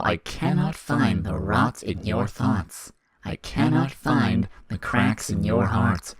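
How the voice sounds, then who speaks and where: A man voicing an eerie, coldly formal demon child, deliberate and menacing, in an audio fiction. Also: fairly low pitch.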